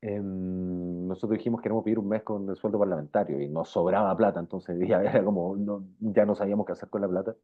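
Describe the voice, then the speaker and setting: A man's voice: low-pitched, fairly even intonation, fast, hardly any pauses. A Chilean man, middle-aged, speaking informally and with slang in a casual interview, often circling back over his phrases. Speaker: interviewed journalist. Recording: thin, phone-like band-limited sound.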